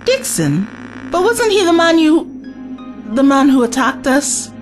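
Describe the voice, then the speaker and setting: Anxious, animated young-heroine type played by a woman. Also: high voice, a little slow, soft background music. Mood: shocked. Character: earnest, anxious female civilian companion in a war zone. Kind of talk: audio drama acting.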